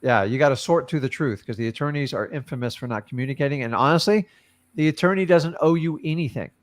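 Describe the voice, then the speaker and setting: A man's voice: medium pitch, fast. A man hosting a coaching call, giving blunt and casual advice to students over a video call. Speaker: host and wholesaling coach.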